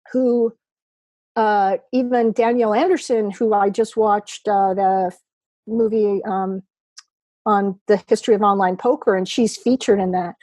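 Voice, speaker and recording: A woman's voice: fairly high pitch, mellow, no echo. A woman, a guest chatting on a video call, rambling and laughing often. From New York. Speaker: podcast guest, poker writer. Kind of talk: casual remote interview chat.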